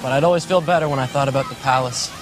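Guy acting as earnest young trainee, soft wistful movie talk, big loud music all over it. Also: medium pitch, very fast, close to an everyday voice. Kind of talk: soft film drama dialogue. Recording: film clip with loud music behind.

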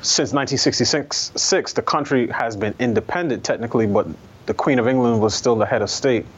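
A man born in Barbados and raised in New York, telling his family story in an interview in a thoughtful, measured way with frequent pauses. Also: mid-range voice, slightly rough. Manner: thoughtful, measured, many ums and restarts. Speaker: guest columnist. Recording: slightly dull lo-fi sound.

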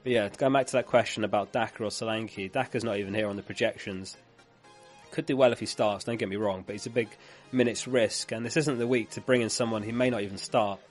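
A man's voice: fairly low pitch, steady tone, fast, soft background music. A man who is losing his voice, hosting a live fantasy football stream in a chatty way, reading out viewer comments and laughing along.

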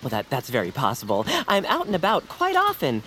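A woman's voice: a bass voice, huge pitch swings, brisk, fairly bright tone, slight background noise, exaggerated voice. A woman playing an eager, chatty, quaintly folksy character in an old-time radio comedy. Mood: flustered, excited. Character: eager, chatty, easily starstruck friendly woman.

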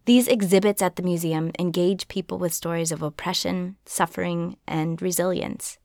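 A woman from Minnesota hosting a podcast, narrating a script in an earnest, measured way. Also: lowish voice, fairly even intonation, vocal fry, darkish tone, dry recording, clean studio sound. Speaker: podcast host.

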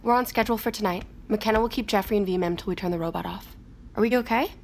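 A woman's voice: medium pitch, fast, darkish tone, a little hiss or hum, lightly acted. An actress playing a cool, resolute woman who leads a coup in an audio drama. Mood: brisk, resolute. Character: Hannah, commanding female coup leader, cool and resolute.

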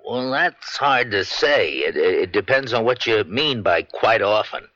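A man's voice: on the low side, lively intonation, a little slow, sharp tone, dry recording. A man acting an earnest, nervous drugstore clerk giving testimony in a radio drama, hesitant and deferential. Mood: flustered, hesitant. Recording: dry, dull vintage radio broadcast.

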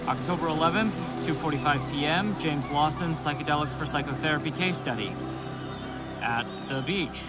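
A man's voice: a little high, over loud music, close to an everyday voice. An actor in a naturalistic audio drama playing a dazed, nervous man who mutters fragments as he narrates his own psychedelic experiment. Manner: halting, muttering fragments to himself.

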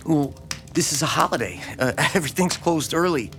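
An actor playing a lonely, timid small-town man in an audio drama, speaking haltingly with stammers and self-deprecation. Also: a little high, expressive, a bit bright. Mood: eager, nervous. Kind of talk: naturalistic audio drama acting. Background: music behind the voice.

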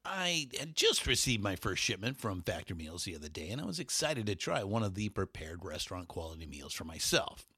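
Husky man reading a chatty ad. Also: very animated, a little fast, few pauses, pushed and bright, no echo, clean studio sound. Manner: chatty, personal storytelling pitch. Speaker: ad host. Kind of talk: host-read ad.

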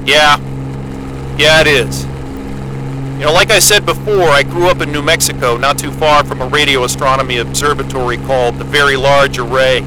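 A man's voice: medium pitch, steady tone, normal speed, close to an everyday voice. A man playing an eager, geeky American astrophysicist in an audio drama, speaking in an earnest, wordy, naturalistic way. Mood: awed, nostalgic. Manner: earnest, wordy, enthusiastic explainer. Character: eager, geeky male astrophysicist starting a solo Antarctic posting. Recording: loud engine hum of a helicopter cabin.

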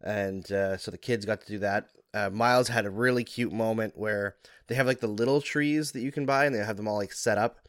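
A man from Alberta, a hockey analyst co-hosting a podcast, sick and under the weather, telling relaxed chatty stories. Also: lowish voice, a little fast, no room sound, clean studio sound. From Alberta, Canada. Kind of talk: casual sports podcast chat.

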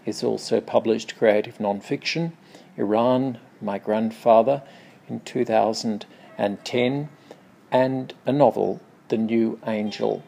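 A man reading a scripted introduction in a measured literary-programme style. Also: fairly low pitch, fairly even intonation, gravelly, dark tone. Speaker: introducer, magazine editor.

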